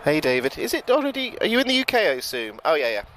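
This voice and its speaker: A man's voice: on the high side, quick pace, a little hiss or hum. Chatty British man commentating outdoors. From United Kingdom. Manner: relaxed and chatty, with frequent ums and self-corrections. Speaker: live plane-spotting commentator. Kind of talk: live-stream commentary, reading out viewer chat. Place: outdoors at an airport at night.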